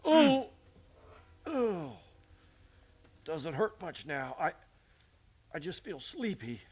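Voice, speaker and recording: A bitter outlaw played by a man lies dying in a Western radio play. His voice is husky and strained, dragging slowly and lurching up and down as he groans out his words. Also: fairly high pitch, big pitch swings, darkish tone, exaggerated voice. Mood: fading, bitter. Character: dying stabbed Western villain, gasping and bitter. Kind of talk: Western radio drama acting.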